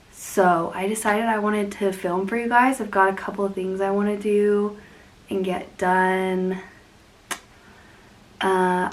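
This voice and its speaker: A woman's voice: mid-range voice, poor audio. A young woman hosting a casual plant vlog, sniffly and congested from being sick, rambling and laughing often as she talks. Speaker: plant channel host.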